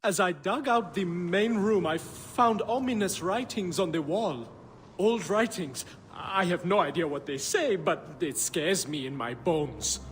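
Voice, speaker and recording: An actor playing an anxious, superstitious villager of ancient Egypt in a video game, speaking in a fretful, hesitant way. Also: male voice, high voice, expressive, unhurried, in a large hall, a little hiss or hum. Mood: fearful. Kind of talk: video game drama acting.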